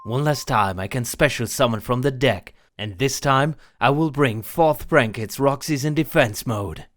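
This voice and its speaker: A man's voice: medium pitch, unhurried, noisy background, lightly acted. A man playing a confident, grandiose card duelist in an audio drama, formal and defiant. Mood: commanding. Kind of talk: fan audio drama acting.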